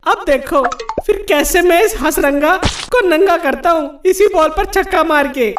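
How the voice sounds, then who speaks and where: A man's voice: very high-pitched, a little slow. A man voicing a timid, whining, boastful cricket batsman in a comic cartoon. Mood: excited, boastful. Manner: whiny, exclaiming. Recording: distant voice under loud music.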